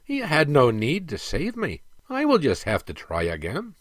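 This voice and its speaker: A male reader acts out a proud dwarf prince sunk in despair, from a fantasy storybook. His middle-pitched voice is blunt and questioning, with an expressive swing to it. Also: moderate pace, dry recording, clean studio sound, full character voice. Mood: despairing, defiant.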